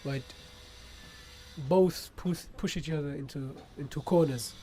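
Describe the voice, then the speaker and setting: A man's voice: a little high, measured pace, warm tone. A young man from Ethiopia answering interview questions as a student guest, choosing his words carefully and hesitantly. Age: young adult. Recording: clearly noisy background ambience.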